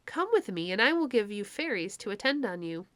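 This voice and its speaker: A woman's voice: medium pitch, very animated, brisk, fairly bright tone, dry recording. A woman voicing a tender, gushing fairy queen in a storybook reading, sweetly imperious as she dotes and commands. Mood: adoring.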